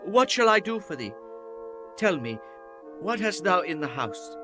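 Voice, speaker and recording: An actor playing a commanding biblical prophet in a dramatized scripture audiobook, solemn and declamatory. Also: male voice, high voice, big pitch swings, unhurried, over music. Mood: solemn, inquiring.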